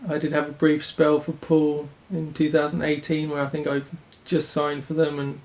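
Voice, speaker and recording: Flat, gravelly-voiced young man. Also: fairly high pitch, poor audio. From Denmark. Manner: earnest, halting, many restarts. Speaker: speedway rider. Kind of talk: interview answers.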